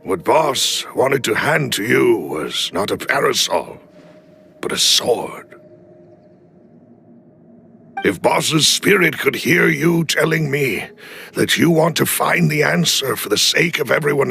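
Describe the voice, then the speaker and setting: A loyal older gentleman retainer in a game drama, grave yet gentle. His voice is bright and creaky, with wide swings of pitch and formal, deliberate phrasing, over faint music. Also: sing-song, slight background noise, exaggerated voice. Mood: solemn, gentle. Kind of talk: dramatic video game cutscene acting.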